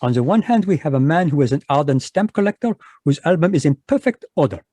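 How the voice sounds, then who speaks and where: A man's voice: fairly high pitch, expressive, fast talker, dark tone, dry recording. A man voicing a smug Belgian detective with a put-on French accent in an old-time radio play, pompous and polite. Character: fussy middle-aged Belgian male detective, smug. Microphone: video call microphone.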